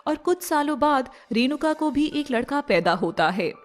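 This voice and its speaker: A woman's voice: high-pitched, animated, moderate pace. A woman narrator reading a scripted moral story. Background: faint music.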